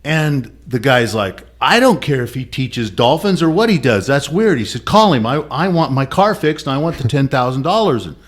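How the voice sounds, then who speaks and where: A man's voice: medium pitch, animated, moderate pace, few pauses. A man on a casual podcast telling a long joke and acting out its quotes. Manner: storytelling with quoted voices.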